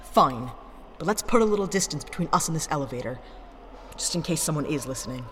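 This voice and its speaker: A man's voice: on the high side, expressive, quick pace, fairly bright tone. A man playing a blunt, impatient, sarcastic adventurer in a sci-fi audio drama. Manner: dry, sarcastic, curt. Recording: long cave reverb, faint noise and ambience.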